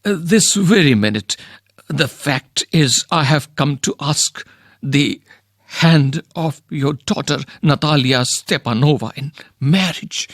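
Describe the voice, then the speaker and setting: A man's voice: on the high side, lively intonation, slow, no room sound, clean studio sound. Man reading a stammering nervous suitor. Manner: stammering, hesitant.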